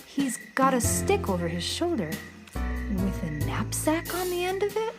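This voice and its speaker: A woman's voice: high voice, big pitch swings, a little slow, over music. An actress playing a cool, playful young beatnik cat-girl in a children's radio drama, speaking theatrically. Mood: curious.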